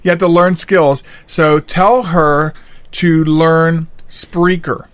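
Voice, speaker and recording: Man, podcast host, raised pitch, casual chat, jokey rambling delivery, dry sound. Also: fairly even intonation, slow pace, clean tone, no room sound.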